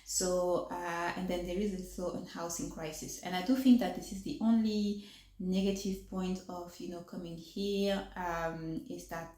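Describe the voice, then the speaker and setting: A woman's voice: fairly low pitch, steady tone, a little slow, warm tone. French woman vlogger in an echoey room. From France. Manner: articulation a little off from new braces; hesitant, many fillers and restarts. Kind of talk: casual chat to camera.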